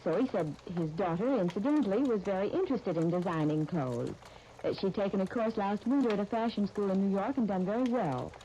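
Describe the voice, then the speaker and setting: An old, faintly hissy radio broadcast from 1939 carries a soft, mellow heroine acted by a woman. She is a tactful young businesswoman in a soap opera, earnest and reasonable, gently persuading in polite period radio style. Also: mid-range voice, animated, fairly quick, dull audio, natural voice. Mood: earnest, recounting. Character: Helen, earnest, tactful young dress designer and shop partner.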